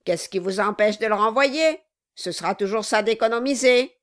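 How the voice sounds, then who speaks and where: Lady doing a nasty little dwarf man from a storybook, loud, bossy, mocking, sneery. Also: medium pitch, moderate pace, lightly acted. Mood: irritated sneering. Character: malicious, bullying, sneering male dwarf. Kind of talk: dramatized audiobook reading.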